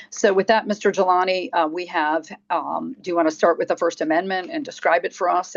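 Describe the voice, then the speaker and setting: Low-voiced woman chairing a committee. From Maryland. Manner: hesitant, many fillers. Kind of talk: chairing a legislative meeting. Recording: dull muffled audio.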